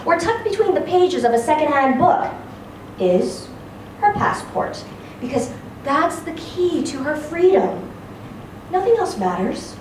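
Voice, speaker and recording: A woman giving a live dramatic reading of a literary story, phrasing it expressively and deliberately with pauses. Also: high-pitched. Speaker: story reader. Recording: very echoey room, distant mic, faint noise.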